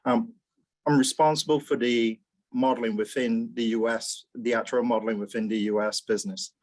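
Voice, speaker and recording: A man's voice: mid-range voice, fairly even intonation, moderate pace. A man, speaking as a mentor on a video call panel and often repeating words while he thinks. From Barbados. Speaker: mentor, actuary executive. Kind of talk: casual panel talk.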